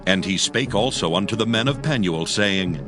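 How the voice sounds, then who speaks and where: A man's voice: fairly low pitch, lively intonation, moderate pace. A man narrating a dramatized scripture story in a solemn, archaic storytelling style. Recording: music behind the voice. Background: clear background music.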